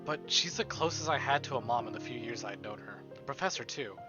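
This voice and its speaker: A man's voice: medium pitch, expressive, a little fast, dull audio. A man streaming a visual novel, reading the story aloud as dramatic narration. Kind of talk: dramatic read-aloud narration with casual asides. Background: soft game music.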